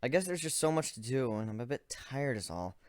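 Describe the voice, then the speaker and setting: A man performs a young griffin inventor in a dramatic storybook reading. He is methodical and analytical, with a weary, exasperated streak. The voice sits at a middle pitch in a very dry space. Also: big pitch swings, fairly quick, darkish tone, no room sound, natural voice. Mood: weary, downcast. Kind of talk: dramatic reading of first-person fan fiction.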